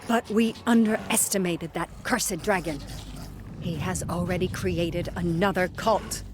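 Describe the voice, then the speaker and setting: A woman's voice: fairly low pitch, steady tone, measured pace, background noise, close to an everyday voice. An actress playing an earnest, devout woman soldier in a fantasy game, speaking formally and with urgency. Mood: urgent. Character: earnest, devout female soldier leading a unit. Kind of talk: scripted fantasy game cutscene acting.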